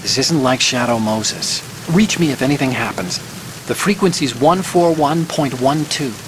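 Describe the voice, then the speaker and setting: A brainy young American hacker acted by a man acting as mission support in a video game. His voice is medium in pitch, his delivery earnest, precise and slightly fussy. Heavy rain and engine noise sit behind him. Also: moderate pace, close to an everyday voice. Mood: earnest, reassuring.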